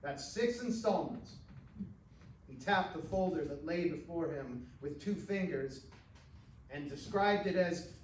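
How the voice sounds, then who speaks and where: A man's voice: on the high side, a little slow. An American man, a professional actor, narrating short fiction aloud at a live stage reading. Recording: roomy, distant stage recording, faint noise.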